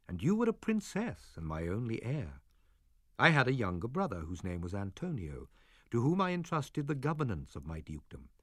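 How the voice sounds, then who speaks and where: A man reading the part of an aged sorcerer and father, with a husky, measured and solemn delivery. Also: on the low side, dry recording, clean studio sound. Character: aged male sorcerer, stern but loving father. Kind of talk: audiobook drama reading.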